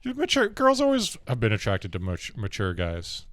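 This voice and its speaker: A young man with a deep voice, a podcast host telling a rambling story in casual chat and laughing often. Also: huge pitch swings, moderate pace, a bit bright, no room sound, studio quality.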